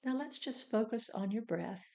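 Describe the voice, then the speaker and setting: Mellow-voiced woman guiding a meditation. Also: a little high, creaky. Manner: soothing, deliberate instructing. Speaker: meditation guide. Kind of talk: guided meditation narration.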